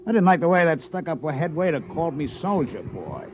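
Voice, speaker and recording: Casting: touchy young American soldier, higher-pitched, aggrieved and cocky, old radio serial with slight noise. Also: male voice, big pitch swings, fairly quick. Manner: slangy, aggrieved. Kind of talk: old-time radio drama acting. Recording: muffled, noisy vintage radio recording.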